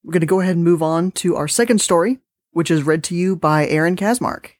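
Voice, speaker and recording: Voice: medium pitch, a little fast, no room sound, clean studio sound. A podcast host talking casually and with enthusiasm, introducing stories in an informal way. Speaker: podcast host and story reader. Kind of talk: casual podcast hosting and story reading.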